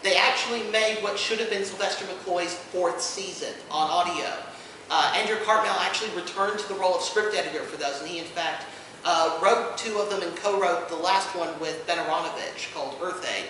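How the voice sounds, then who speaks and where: A man giving an informal panel presentation to an audience, an enthusiastic explainer who speaks haltingly with many asides. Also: high voice, pushed and bright. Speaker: convention panelist presenting audio drama releases. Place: indoor convention panel room. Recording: echoey room from far off, noisy.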